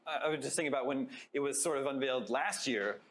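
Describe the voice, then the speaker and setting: A man hosting a gaming show, with an upbeat, energetic broadcast delivery. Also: mid-range voice, fast talker, slight background noise. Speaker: show host.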